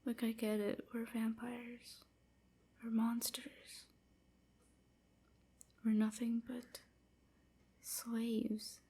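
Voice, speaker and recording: Woman acting a breathy, slow, sorrowful vampire slave. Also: fairly high pitch, completely flat delivery, exaggerated voice. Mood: bitter sorrow. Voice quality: breathy, husky, often near whisper. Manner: slow, hesitant, softly confiding. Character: melancholy enslaved female vampire, gentle, wounded, grateful. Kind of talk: intimate roleplay audio drama monologue.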